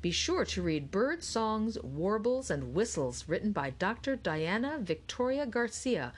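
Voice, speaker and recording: A woman voicing a holographic gentleman bird painter in a children's audiobook, presentational and slightly pompous. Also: mid-range voice, sing-song, a little slow, slightly rough, voice pushed up. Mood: proudly informative.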